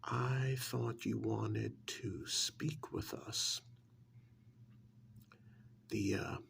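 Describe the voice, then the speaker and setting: A man playing a polite, guarded, elderly small-town museum curator in a soft, breathy, hesitant ASMR role-play. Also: on the low side, steady tone, heavily acted. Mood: measured, uneasy. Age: older. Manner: hesitant, deliberate, courteous.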